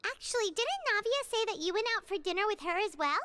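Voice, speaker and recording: A woman voicing a tiny, childlike, excitable fairy companion in a video game, speaking of herself in the third person. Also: very high-pitched, quick pace, heavily acted. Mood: curious, excited. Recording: game music behind the voice.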